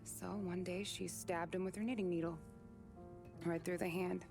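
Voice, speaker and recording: A woman's voice: lowish voice, fairly even intonation, moderate pace, creaky, over music. An actress playing a tough but tender young working-class city woman, wry and frank, in a cinematic period game. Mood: intimate, reflective.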